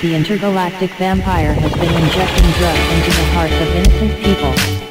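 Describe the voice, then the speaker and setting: A male narrator with a fairly high voice reads a scripted story. Loud music plays right along with him throughout. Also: steady tone, fairly quick.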